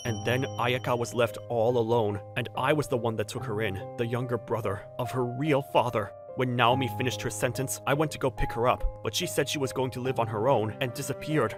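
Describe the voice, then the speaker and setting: A man's voice: mid-range voice, steady tone, brisk, few pauses, close to an everyday voice. Man playing a devoted, weary single dad over music. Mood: somber, explanatory. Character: devoted middle-aged single father, weary and sincere. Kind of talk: scripted anime-style dub narration and dialogue.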